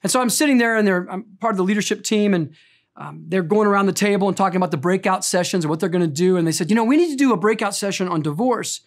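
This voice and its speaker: Earnest pastor in his fifties, middle pitch. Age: 50s. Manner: earnest storytelling, slows down for emphasis. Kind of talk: sermon.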